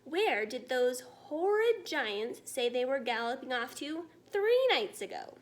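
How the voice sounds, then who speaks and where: A woman's voice: high-pitched, very animated, slow, full character voice. A woman voicing an unflappable, commanding queen in a storybook, matter-of-fact and regal. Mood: composed, brisk.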